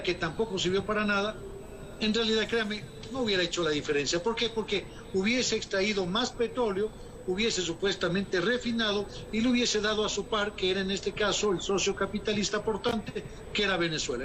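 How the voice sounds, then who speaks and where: A man with a fairly high voice speaks as an economist answering an interviewer. He argues emphatically and formally, often posing rhetorical questions before answering them. Faint noise hums under the recording. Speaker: economist guest.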